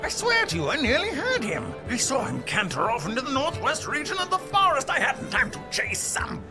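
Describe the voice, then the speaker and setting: Blustering eccentric knight, wildly swooping voice. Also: male voice, very high-pitched, huge pitch swings, fairly quick, over music, heavily acted. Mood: insistent, indignant. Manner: theatrical, blustering, indignant. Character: excitable, eccentric knight obsessed with hunting a fantastical beast. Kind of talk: audio drama acting.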